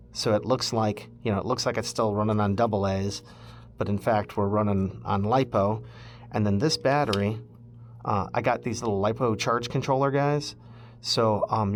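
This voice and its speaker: A man casually walking through a DIY electronics project, thinking aloud in an offhand, loosely phrased way. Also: on the low side. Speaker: electronics hobbyist host.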